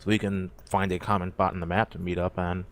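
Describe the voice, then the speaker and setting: A man's voice: deep voice, little pitch movement, fairly quick, slight background noise. A man taking part in a role-play game, reasoning casually with the other players.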